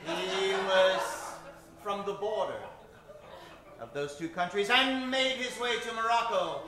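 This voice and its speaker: A man's voice: sits high, slow pace, a crowd in the background. A man hosting and narrating in a mock-grand, theatrical old-time radio announcer style. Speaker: host, announcer and narrator of a live radio show. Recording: roomy live stage sound with music.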